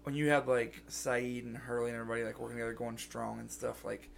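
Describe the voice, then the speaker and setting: Gravelly-voiced man, sick and scratchy. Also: mid-range voice, flat delivery, quick pace. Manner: rambling, lots of "like" and restarts. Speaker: podcast co-host. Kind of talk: casual chat.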